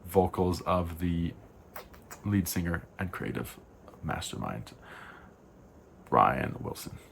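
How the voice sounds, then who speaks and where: A young man with a deep voice talking casually through album reviews, frank and rambling, correcting himself with sighs and asides. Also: big pitch swings, takes it slowly, gravelly, slight background noise. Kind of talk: casual spoken album rundown.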